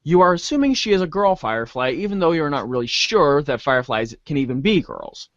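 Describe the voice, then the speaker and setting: Male narrator for a comic reader's theater, highish voice, mock-grand delivery, dry and close sound. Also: sing-song, a little fast, fairly bright tone, no room sound, highs cut off. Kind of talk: dramatic narration of a comic adventure.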